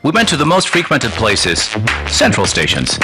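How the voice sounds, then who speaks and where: A man reading a scripted promotional video voice-over in a smooth, even way. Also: medium pitch, moderate pace. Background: loud electronic music.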